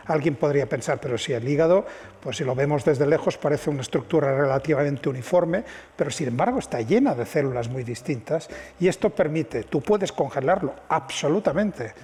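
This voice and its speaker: A man's voice: fairly high pitch, darkish tone, slightly rough, long reverb tail. A man, a scientist guest explaining his field on a panel, patient and emphatic. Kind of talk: panel discussion.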